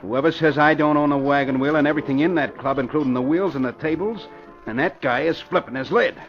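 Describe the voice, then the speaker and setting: An actor playing a boastful, slangy tough racketeer in an old-time radio crime drama, on a muffled recording with music behind. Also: male voice, a little high, quick pace, lightly acted. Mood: indignant. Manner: brash, slangy tough-guy talk.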